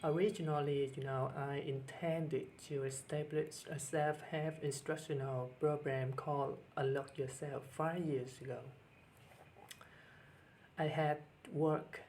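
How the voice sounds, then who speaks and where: A man's voice: on the high side, level tone, unhurried, a little mellow, rough recording. Vietnamese-accented guy, middle-aged teacher, hesitant and sincere, lots of uh's, faint background hum. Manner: hesitant, earnest, many fillers and restarts. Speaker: teacher and channel host. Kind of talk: personal talk to camera.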